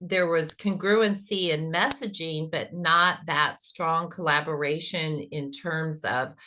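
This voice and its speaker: Texan woman, low bright voice. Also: steady tone, slow pace. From Texas. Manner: friendly, conversational, with fillers. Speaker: webinar panelist, content strategist. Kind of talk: webinar panel talk.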